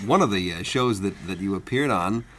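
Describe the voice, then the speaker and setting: A man hosting a casual radio interview, speaking in halting, deliberate phrases. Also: lowish voice, lively intonation, a bit bright. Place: radio interview with others present. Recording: faint background noise.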